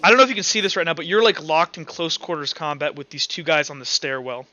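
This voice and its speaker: A man's voice: a little high, fast talker, bright, forceful tone, dull audio. A man narrating a tabletop roleplaying game as game master, in a casual, informal way. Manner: casual, informal, sound-effect-laden descriptions. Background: music.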